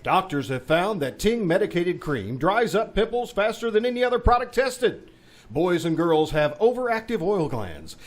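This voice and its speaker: A male announcer in the old-time radio style. His pitch is high and swings widely. He delivers his lines with showy emphasis. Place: live on a theater stage.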